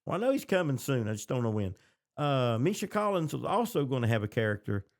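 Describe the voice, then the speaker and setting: Gravelly American man chatting casually. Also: mid-range voice, big pitch swings, fast talker. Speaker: podcast host. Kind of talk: casual TV reaction chat.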